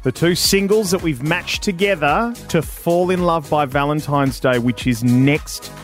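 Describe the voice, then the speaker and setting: A man co-hosting a radio show, playful and quick to laugh. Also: on the high side, soft background music. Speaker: radio co-host. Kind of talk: casual radio banter.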